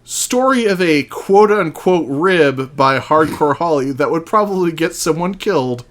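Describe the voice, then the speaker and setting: Man with lively, higher-pitched voice. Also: measured pace. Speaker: podcast guest. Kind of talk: casual chat with read-aloud book excerpts.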